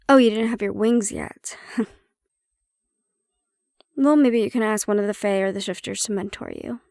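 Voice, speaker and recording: A woman playing a prickly but secretly caring handler in an intimate fantasy audio roleplay, speaking in a wry, measured, matter-of-fact way. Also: on the high side, darkish tone, dry recording, studio quality, close to an everyday voice. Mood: softer, curious.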